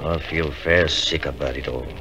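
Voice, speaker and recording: Deep-voiced sullen Yorkshire stable lad. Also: male voice, fairly even intonation, normal speed, sharp tone. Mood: glum. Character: young undergroom from a Yorkshire village, sullen, weary. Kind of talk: 1950s radio drama acting. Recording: noisy old 1950s radio recording.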